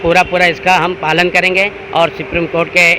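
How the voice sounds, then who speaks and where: A man gives a formal statement in a flat, fairly high voice. He sounds like a community representative interviewed amid clear traffic noise. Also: moderate pace.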